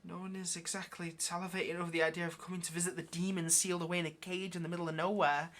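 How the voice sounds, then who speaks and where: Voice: medium pitch, little pitch movement, fairly bright tone, lightly acted. Lonely, hesitant demon sealed away, played by an actor. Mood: wistful, shy. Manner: hesitant, halting, coaxing. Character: lonely, pleading sealed demon, clingy and affectionate. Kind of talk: ASMR roleplay, intimate one-sided conversation.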